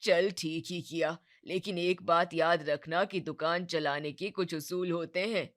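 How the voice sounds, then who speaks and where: A woman voicing an older neighbourhood uncle, admonishing and full of advice, in animated story dialogue. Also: on the low side, measured pace, natural voice.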